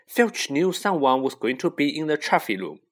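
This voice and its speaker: A man's voice: a little high, sing-song, moderate pace, a bit bright. A man voicing a bossy, anxious young schoolgirl in a storybook, insistent and scolding.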